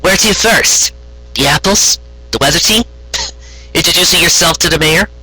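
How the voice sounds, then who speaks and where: A man's voice: fairly high pitch, moderate pace, sharp tone, husky, dry recording, pitched above the performer's own voice, heavily acted, rough recording. A man voicing a wry, blunt, impatient young female unicorn in a storybook reading. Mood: prodding.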